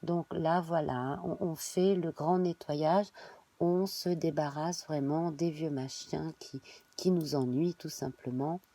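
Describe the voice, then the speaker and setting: A woman, reading a monthly tarot spread while she has a cold, halting and filling pauses. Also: low voice, fairly even intonation, mellow. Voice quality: hesitant, many fillers and restarts. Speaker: tarot reader.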